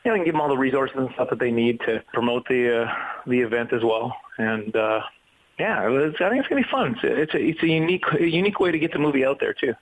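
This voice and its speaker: A man from Canada giving a casual radio interview as a guest, talking in a halting, rambling way and repeating words as he goes. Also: mid-range voice, lively intonation, low-quality recording. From Canada, near Vancouver.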